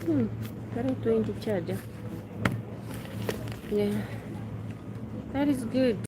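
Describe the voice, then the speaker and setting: A woman's voice: fairly high pitch, slow pace. A woman with a Kenyan accent chats casually as a live streamer, musing unhurriedly, repeating phrases and answering viewers. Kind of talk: casual chat, reading and answering viewers' questions. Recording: clearly noisy background.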